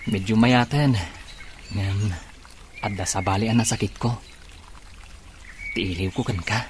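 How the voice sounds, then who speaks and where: A man's voice: on the low side, measured pace, noisy background, natural voice. A man playing an earnest, lovesick young suitor in an Ilocano radio drama. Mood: pleading, ardent.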